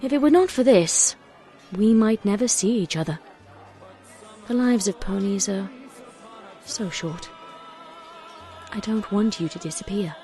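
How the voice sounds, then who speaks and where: A woman's voice: mid-range voice, unhurried, pauses often, dark tone, close to an everyday voice. Woman doing a stately pony night queen, formal and burdened, audio drama, bit of music behind. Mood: weary, tender.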